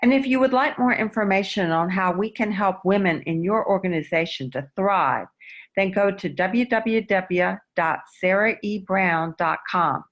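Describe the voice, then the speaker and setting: A woman's voice: medium pitch, a bit bright. Woman reading a podcast outro, dull audio. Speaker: podcast host.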